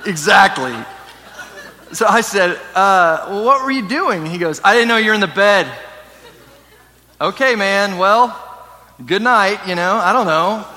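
Pastor guy, kinda high voice, casual animated storytelling, big echoey hall. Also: unhurried, pauses often. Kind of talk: sermon with personal storytelling.

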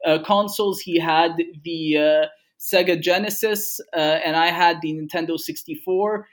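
Man, from Egypt, young adult, high voice, relaxed storytelling, lots of fillers. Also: moderate pace. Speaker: guest, game studio co-founder. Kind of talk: casual conversation.

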